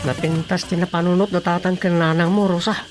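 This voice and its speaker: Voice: medium pitch, flat delivery, fairly quick, over loud music, natural voice. An actor with an Ilocano accent playing a poor, humble young villager in a radio drama.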